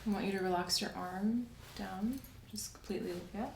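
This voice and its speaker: Soft-spoken woman in a roomy, hissy recording. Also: medium pitch. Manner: gentle, unhurried questioning and step-by-step instructions. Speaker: ASMR creator playing a doctor doing a medical exam. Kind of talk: soft-spoken ASMR medical roleplay. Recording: roomy echo, clear background hiss.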